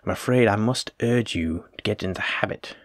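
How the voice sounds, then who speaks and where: Low, courteous plague doctor played by a man. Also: moderate pace, no room sound, studio quality, natural voice. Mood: gently attentive. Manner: formal, polite, reassuring, wordy. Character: courteous, learned male plague doctor of olden times. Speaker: physician examining a patient. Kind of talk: soft-spoken roleplay audio drama, addressing the listener.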